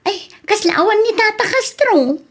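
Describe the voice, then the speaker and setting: A woman's voice: extremely high pitch, animated, unhurried. A woman with an Ilocano Filipino accent playing a chatty, teasing neighbour and shop customer in a radio comedy drama. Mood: playful, startled.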